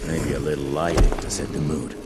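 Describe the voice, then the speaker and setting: An actor playing a sardonic, seasoned monster hunter with a crude, dry, grumbling manner. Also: male voice, a bass voice, little pitch movement, a little fast, very noisy. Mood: mocking.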